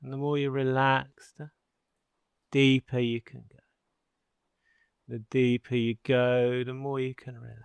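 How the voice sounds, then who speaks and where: A man guiding a sleep hypnosis session in a soothing, measured manner with long pauses between phrases. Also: medium pitch, level tone, gravelly, clear, resonant tone, no echo. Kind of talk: guided sleep hypnosis narration.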